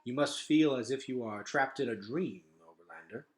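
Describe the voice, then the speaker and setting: A man's voice: mid-range voice, lightly acted. Man voicing a courteous, grave old man, roomy sound. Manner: formal, measured, courteous. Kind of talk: children's novel read-aloud.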